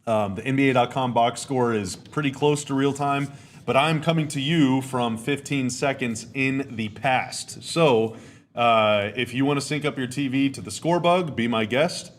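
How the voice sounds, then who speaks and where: A young man with a raspy, slightly hoarse voice at an ordinary middle pitch hosts a relaxed live stream from his home and chats with his viewers. A faint hum runs under the recording. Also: measured pace. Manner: chatty, reads chat comments aloud. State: hoarse, dry sinuses. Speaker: podcast host. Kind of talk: casual live-stream chat. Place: home room.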